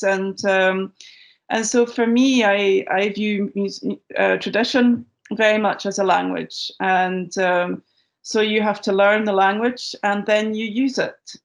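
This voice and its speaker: A Scottish woman musician answering interview questions, thoughtful and measured, pausing often with ums and restarting her sentences. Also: mid-range voice. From Scotland. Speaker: guest musician. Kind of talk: interview conversation.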